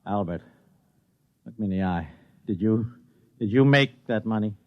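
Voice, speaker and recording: A man's voice: on the low side, normal speed, lightly acted. Flustered, stammering businessman on muffled old radio, played by a man. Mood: stunned. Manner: stammering, agitated, pleading. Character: flustered, desperate, broke businessman, a robot's exasperated boss. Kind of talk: 1950s radio comedy-drama acting. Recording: dull, band-limited vintage radio recording.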